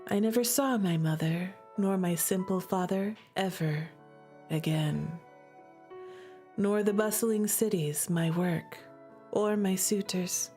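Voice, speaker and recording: Slow, solemn lovestruck woman, acted by a streamer. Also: medium pitch, warm tone, soft background music, voice pushed down, natural voice. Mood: wistful, solemn. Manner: solemn, drawn-out, deliberate phrasing. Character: devoted, lovestruck female lover narrating a tragic poem. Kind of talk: dramatic poetic reading.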